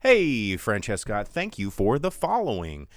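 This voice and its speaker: An American man hosting a live film talk show, chatting casually with viewers in an animated, opinionated way and repeating words for emphasis. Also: lowish voice, unhurried, no room sound. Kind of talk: casual livestream chat with viewers.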